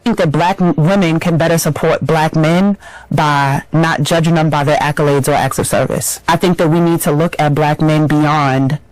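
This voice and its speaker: A woman's voice: sits low, fairly even intonation, normal speed, darkish tone, rough recording. A woman speaking earnestly and emphatically, giving advice about relationships. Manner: emphatic, advising. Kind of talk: earnest commentary.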